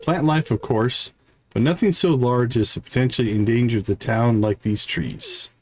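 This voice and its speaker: A man plays a grave, scholarly village wizard from a video game, speaking formally and deliberately. The pitch is middling and there is some background noise. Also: expressive, a little mellow, natural voice. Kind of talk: reading video game dialogue aloud.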